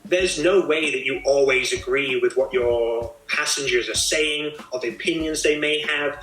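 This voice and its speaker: A man's voice: mid-range voice, moderate pace, a bit bright, echoey room, poor audio. A man hosting a casual video chat, explaining his ideas at length in a thoughtful way. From Australia. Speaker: host.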